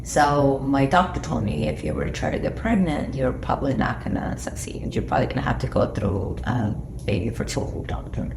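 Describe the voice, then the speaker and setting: A woman in her late thirties, a nurse co-hosting a podcast, chatty and quick to laugh. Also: extremely low pitch, very animated, gravelly. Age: late 30s. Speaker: nurse, co-host. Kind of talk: casual podcast chat. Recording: echoey room, background noise.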